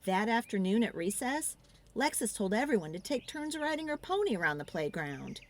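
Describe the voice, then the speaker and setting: Animated woman reading a children's story. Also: medium pitch, moderate pace, slight background noise. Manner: expressive, theatrical. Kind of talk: dramatic read-aloud narration for children.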